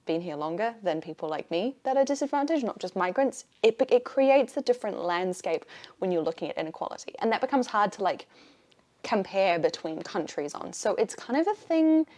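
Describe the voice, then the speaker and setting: A young woman with a New Zealand accent, talking casually to camera in a lively way, often restarting her sentences. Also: medium pitch, a little fast. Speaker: vlogger. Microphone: camera mic.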